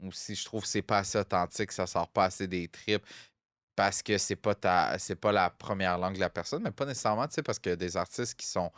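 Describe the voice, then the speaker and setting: A man's voice: sits low, a little fast, a bit bright, no room sound, studio quality. A young man with a Québécois French accent, co-hosting a podcast in casual, rambling talk that is full of fillers and English phrases. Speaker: podcast co-host. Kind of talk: casual chat.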